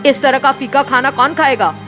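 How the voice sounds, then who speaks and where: A woman plays a stern young husband in a cartoon family drama. The voice is fairly high, reproachful and accusing, over faint background music. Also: normal speed, lightly acted. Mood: angry, reproachful.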